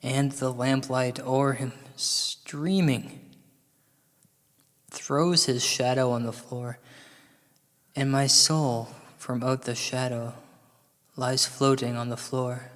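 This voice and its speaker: Slow, theatrical man in deep reverb. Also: fairly even intonation, a bit bright. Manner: dramatic, exasperated, profane. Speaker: comic storyteller. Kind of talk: theatrical poem recital turning into exasperated monologue. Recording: long reverb, like a large hall.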